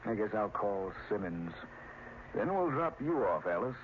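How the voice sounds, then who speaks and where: An actor playing a grim, stubborn small-town sheriff in an old-time radio drama, with a folksy, plainspoken manner. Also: male voice, on the low side, big pitch swings, unhurried. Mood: grim, determined. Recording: noisy, muffled old radio recording.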